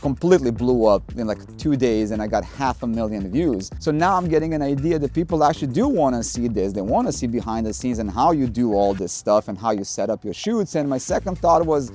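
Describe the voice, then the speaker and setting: Male photography vlogger, Serbian accent, non-native English, mid pitch, wry self-deprecating delivery, light music bed. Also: expressive, a little fast, hardly any pauses, mellow. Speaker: photography YouTuber. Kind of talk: scripted vlog monologue. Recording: faint music underneath. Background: faint music.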